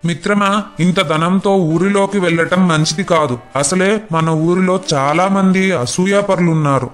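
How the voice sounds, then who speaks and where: Man playing a high-voiced greedy merchant in a cartoon. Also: a little slow, soft background music. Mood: scheming. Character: greedy, hot-tempered merchant accusing his friend. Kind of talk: animated moral story voice acting.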